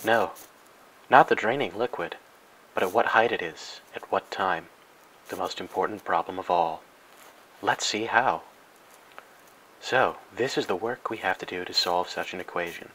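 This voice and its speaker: Guy doing spoof educational film narration, deadpan n grandiose, lowish punchy voice, bit of noise behind. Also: normal speed, pauses often, rough recording. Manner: mock-serious, grandiose, deadpan. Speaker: narrator and host.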